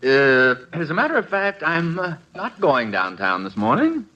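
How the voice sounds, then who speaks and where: A man's voice: a little high, wildly swooping pitch, moderate pace, pushed and bright, exaggerated voice. An American man acting a suspicious, sarcastic middle-aged husband and father in a 1950s radio comedy, dry and hesitant. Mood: wry, stubborn. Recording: dull, very noisy old radio broadcast.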